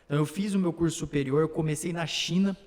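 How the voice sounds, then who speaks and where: A man's voice: fairly high pitch, steady tone, fast, a little mellow. Young man with a Slovak first language. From born in São Paulo, raised in Slovakia and Brazil. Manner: earnest, detailed storytelling. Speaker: city council candidate. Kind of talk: casual interview, telling his life story. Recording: long hall reverb.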